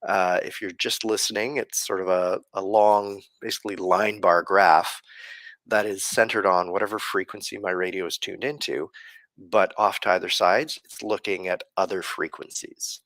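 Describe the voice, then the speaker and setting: A man hosting a podcast live stream, explaining things casually in a halting, thoughtful way with frequent pauses. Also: low-pitched, fairly even intonation, a bit bright, dry recording. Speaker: podcast host.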